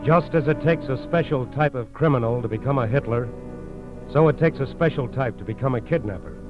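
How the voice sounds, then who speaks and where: An American man narrates a scripted 1940s crime broadcast. He is grave and lands short phrases with emphasis. Loud music plays behind him, and the old recording is slightly dull. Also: mid-range voice.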